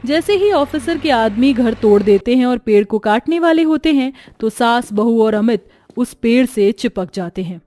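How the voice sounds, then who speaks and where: A woman narrating a scripted animated moral story as its storyteller. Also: a little high, moderate pace, no room sound.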